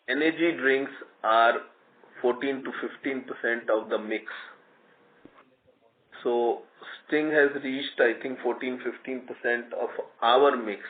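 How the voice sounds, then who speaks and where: A man speaking as a company executive, answering analysts' questions on a conference call. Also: medium pitch. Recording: tinny phone line with clear noise.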